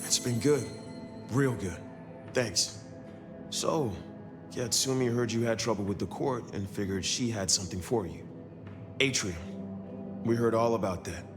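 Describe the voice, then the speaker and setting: Easygoing, slightly low-voiced guy played by a man. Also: measured pace, pauses often, music in the background, natural voice. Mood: informative. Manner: casual, friendly, plainspoken.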